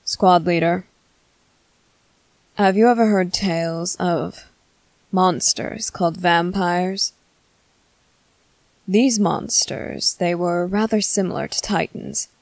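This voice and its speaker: Woman as a slow, creaky-voiced vampire commander. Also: fairly low pitch, steady tone, a little mellow, dry recording. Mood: slow, confessional. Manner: formal, measured, deliberate, with dramatic pauses. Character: composed, menacing male military commander who is a vampire. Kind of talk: ASMR roleplay monologue to the listener.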